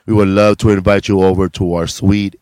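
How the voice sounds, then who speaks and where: A male comedian hosting a casual road podcast, teasing his guests in a drawn-out, laid-back way and saying "man" and "bro" a lot. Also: deep voice, flat delivery, darkish tone, clear, resonant tone, no room sound, studio quality.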